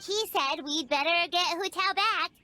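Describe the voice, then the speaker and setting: A woman voicing a small, excitable childlike fairy companion in a video game, squeaky and given to exclamations. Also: measured pace, faint music behind, heavily acted. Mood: bluffing. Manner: exclamatory, speaks of self in third person.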